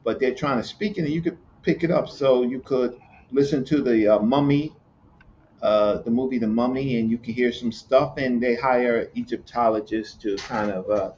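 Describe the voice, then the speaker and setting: A man from Virginia, explaining hieroglyphs in casual chat, halting, with many fillers and repeated words. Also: mid-range voice, darkish tone, slight background noise. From Newport News, Virginia, USA. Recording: muffled lo-fi audio with faint ambience.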